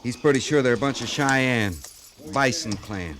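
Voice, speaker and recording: A man's voice: medium pitch, moderate pace, a bit bright, natural voice. A man playing a dutiful, easygoing army sergeant in a 1950s radio western, plainspoken and deferential. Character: dutiful, easygoing male army sergeant, frontier scout liaison. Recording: noisy vintage 1950s radio recording.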